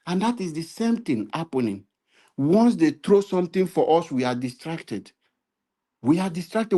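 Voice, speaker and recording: Nigerian male commentator, warm and mellow, preachy, builds to emphatic repeated appeals. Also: on the high side. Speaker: political commentator. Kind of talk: impassioned political commentary. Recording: live stream.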